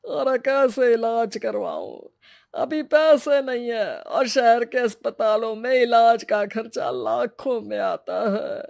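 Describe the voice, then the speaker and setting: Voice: mid-range voice, slow, gravelly, darkish tone, no echo, studio quality. A performer voicing an anxious, helpless elderly village father, plaintive and colloquial, in a cartoon moral story. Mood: distressed.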